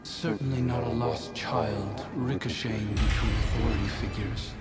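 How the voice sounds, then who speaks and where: A man's voice: medium pitch, fairly even intonation, normal speed, over loud music, lightly acted. An actor playing a sly, cynical male phantom in a video game, needling his listener in a mocking, goading way.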